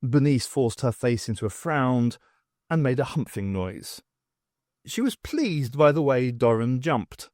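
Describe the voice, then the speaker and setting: A man's voice: medium pitch, big pitch swings, measured pace, a little mellow, no room sound, clean studio sound. A man narrating scripted fiction as an audiobook reader.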